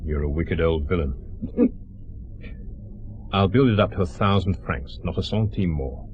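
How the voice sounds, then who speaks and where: A British actor playing a brusque, dogged intelligence officer in a radio drama, clipped, commanding and wearily exasperated. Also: male voice, deep voice, a little slow, muffled recording, a little hiss or hum. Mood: wry.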